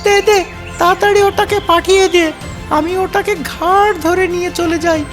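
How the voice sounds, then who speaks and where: A performer voicing a sly, greedy, bullying fox villain in a children's cartoon, blustering and scornful, with loud music behind. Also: very high voice, fairly even intonation, normal speed, pitched above the performer's own voice. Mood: hungry, eager. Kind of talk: children's cartoon story character acting.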